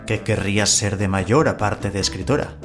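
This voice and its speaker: A low-voiced man with a Spanish accent from Spain hosts a podcast, introducing the episode and interviewing his guest. He keeps a calm, measured pace, and faint music plays softly underneath. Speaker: podcast host.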